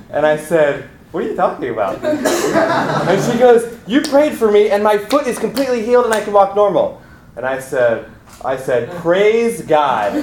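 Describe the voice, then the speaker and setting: A young man, a graduate student, earnestly telling a personal faith story with some halting restarts. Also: on the high side, slight background noise. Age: young adult. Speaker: graduate student giving testimony. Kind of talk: personal testimony. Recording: echoey room.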